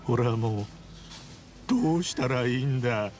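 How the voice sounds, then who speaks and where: An actor playing an earnest, easily flustered man with rough, blunt street speech in a video game drama. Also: mid-range voice, lively intonation, normal speed, a little mellow, natural voice. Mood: despairing. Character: earnest, rough-spoken, flustered younger man, big-hearted hero. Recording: music behind the voice.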